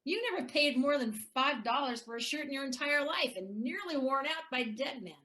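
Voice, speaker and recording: A woman's voice: high-pitched, normal speed, sharp tone. A woman playing a bitter, hard-drinking ex-wife in an audio play, taunting and sardonic. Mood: mocking.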